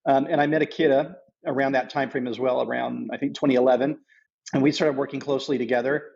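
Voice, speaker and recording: A middle-aged man with a husky, creaky voice tells his story as an interview guest. He goes haltingly, doubling back on phrases, and the recording is a little dull. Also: medium pitch, fairly even intonation, darkish tone. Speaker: podcast guest, nonprofit founder.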